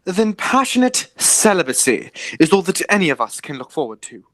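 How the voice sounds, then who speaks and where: Animated, indignant gentleman, acted by a man. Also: high-pitched, normal speed, dry recording. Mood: firm bargaining. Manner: formal, emphatic. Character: indignant, stubborn young gentleman guardian Jack Worthing. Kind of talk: amateur radio play acting.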